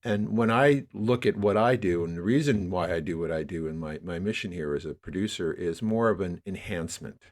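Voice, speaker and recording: A man's voice: sits low, brisk, hardly any pauses, a little mellow. An American man in his sixties, speaking reflectively and discursively as a guest in a conversational interview. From New York area, United States. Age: 60s. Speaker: interview guest, media producer.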